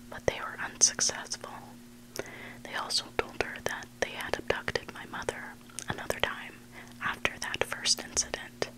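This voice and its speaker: An ASMR host whispering scary stories in a hushed, unvoiced voice, close to the microphone. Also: rough recording. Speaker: ASMR host reading listener-submitted stories. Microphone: close microphone made for headphone listening.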